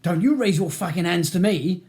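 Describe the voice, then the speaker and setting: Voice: mid-range voice, animated, unhurried, mellow, lightly acted. A reader voicing a belligerent working-class London gangster with a volatile temper, spitting threats and swearing. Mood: angry, threatening.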